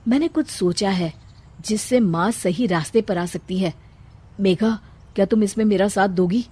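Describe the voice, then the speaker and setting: A woman's voice: medium pitch, warm tone, natural voice. An earnest young man of the household, voiced by a woman in a moral story. He sounds caring and respectful, in a middling register for a female voice.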